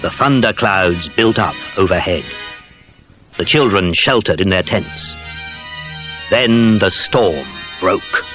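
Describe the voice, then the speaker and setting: A man's voice: sits low, animated, takes it slowly, long pauses. A man narrating a children's adventure audiobook from a script, as its storyteller. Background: music.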